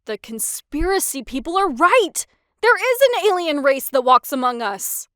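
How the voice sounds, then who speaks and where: A woman voicing a curious, excitable, nerdy teenage boy in a comic dub, eager and inquisitive. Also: high voice, moderate pace, dry recording, studio quality, voice pushed up. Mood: excited, amazed.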